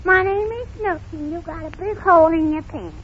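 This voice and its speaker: A woman playing a mischievous, tender little girl with drawn-out, ungrammatical baby talk in a 1930s radio comedy. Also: very high voice. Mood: cheeky, curious. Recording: scratchy, muffled 1930s radio recording.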